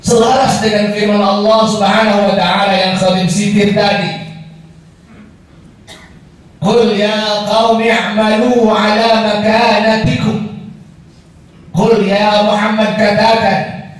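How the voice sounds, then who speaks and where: A man's voice: sits high, little pitch movement. Indonesian male preacher in a very echoey hall. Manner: measured, emphatic oratory. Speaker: preacher giving a Friday sermon. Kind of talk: formal sermon with Arabic recitation. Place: indoor mosque prayer hall. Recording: very echoey hall, distant, faint noise.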